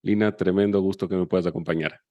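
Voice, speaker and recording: A Chilean man with a Chilean accent hosts a podcast in a very low, mellow voice. He moves from an upbeat scripted intro into relaxed interview chat. The recording is dry and close, with faint music underneath. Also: fast talker, dry recording, muffled recording. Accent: Chilean Spanish. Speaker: podcast host.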